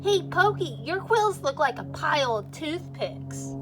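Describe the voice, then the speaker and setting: A woman voicing a teasing young male beaver in a children's storybook, loud and mocking. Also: high voice, huge pitch swings, measured pace, over loud music, voice pushed up, exaggerated voice.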